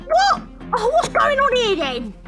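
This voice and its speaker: A woman playing a bossy undertaker's wife in a period audio drama. Her high voice swoops about as she scolds, excitable and easily flustered. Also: a little slow, over music, full character voice. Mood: panicked.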